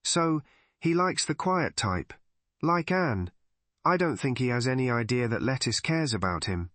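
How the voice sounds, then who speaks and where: A man's voice: mid-range voice, expressive, unhurried, fairly bright tone, no echo. A man voicing a chatty, knowing vicar's wife in a mystery audiobook.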